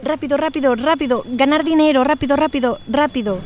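A woman's voice: high voice, brisk, a little mellow. A woman reciting testimony for a sound documentary.

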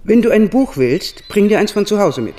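A woman's voice: medium pitch, very animated, normal speed, warm tone, faint music behind, lightly acted. An actress playing a curt, suspicious old woman of the house in a radio drama. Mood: stern, irritated. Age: older. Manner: curt, brusque.

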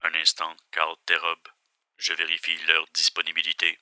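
A man's voice: rumbling low, monotone, moderate pace, sharp tone, clear, resonant tone, no echo, heavily acted. A man playing a formal automated answering machine for a security service. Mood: impassive.

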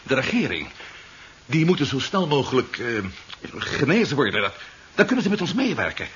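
An actor playing an anxious conspirator with an urgent, stammering delivery in a 1970s radio drama. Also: male voice, fairly high pitch, big pitch swings, brisk, fairly bright tone, breathy edge, full character voice. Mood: earnest, worried. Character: anxious, hurried male conspirator on a daring flight. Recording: dull, very noisy 1970s radio recording.

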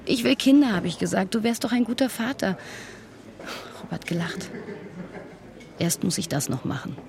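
An anguished, regretful young woman in a radio drama, speaking with emotional insistence. Her mid-pitched voice has a clear creak. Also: animated, normal speed, crowd noise. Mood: wistful. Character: regretful, anguished girlfriend of a dead soldier. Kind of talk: German radio play acting.

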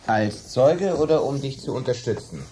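A man voicing a jovial dinner guest in a radio play, hearty and self-assured, with a voice that swings widely in pitch. A faint hiss sits under the recording. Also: very animated, moderate pace, mellow, lightly acted. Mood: uneasy. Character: jovial middle-aged dinner guest, man, wine lover.

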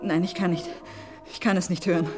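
A woman's voice: lowish voice, fairly even intonation, fairly quick, close to an everyday voice. An actress playing a distraught, anxious woman in a 1950s German radio play, speaking with dramatic, breathless emotion. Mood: anguished dread. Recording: 1950s radio recording, music behind.

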